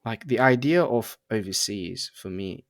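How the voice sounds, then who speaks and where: A man's voice: on the low side, unhurried, no echo, studio quality. A man in his twenties from Turkey, a podcast guest talking casually with frequent laughs and fillers. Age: 20s. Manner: relaxed, laughs often, many fillers. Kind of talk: casual conversation.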